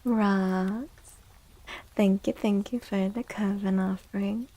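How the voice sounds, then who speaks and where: A soft-spoken woman hosting a casual ASMR livestream, chatting in a breathy, gentle voice. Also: mid-range voice, steady tone, slow. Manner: soft-spoken, greeting and thanking viewers casually. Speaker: livestream host.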